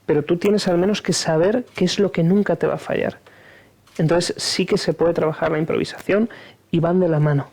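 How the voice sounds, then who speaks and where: A man's voice: fairly high pitch, steady tone, brisk, a little mellow, slightly rough. A man on an online panel, explaining with confident, rhythmic lists of points. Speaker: oratory speaker on a panel. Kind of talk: panel discussion. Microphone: video call.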